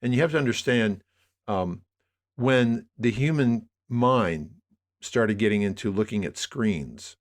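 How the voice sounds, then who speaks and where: An older American man talking reflectively as an interview guest, in a halting, rambling way that circles back on his phrases. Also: lowish voice, animated. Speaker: interview guest, media producer.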